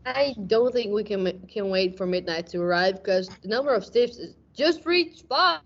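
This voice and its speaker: A woman's voice: mid-range voice, expressive, moderate pace. A woman as a dramatic hard-boiled radio sleuth. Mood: grim. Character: grim hard-boiled detective-drama narrator and actor. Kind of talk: noir radio-play acting. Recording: dull lo-fi recording.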